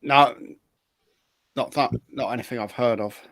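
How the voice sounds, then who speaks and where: A man's voice: medium pitch, measured pace, a bit bright, no room sound. A man casually giving practical tips as a show panelist.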